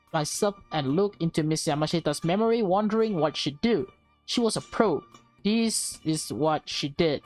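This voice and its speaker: A woman's voice: lowish voice, darkish tone. A woman reading visual novel text aloud with casual reactions, stumbling now and then over words and correcting herself.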